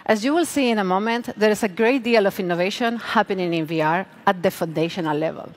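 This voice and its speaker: A woman in her forties giving a rehearsed product keynote on a large stage, with deliberate, emphatic phrasing. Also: mid-range voice. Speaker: product manager presenting.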